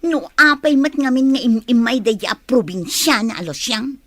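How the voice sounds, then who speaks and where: High-voiced, animated scheming young woman. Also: moderate pace, dry recording, clean studio sound. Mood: doubtful, peevish. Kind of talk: Ilocano radio drama acting.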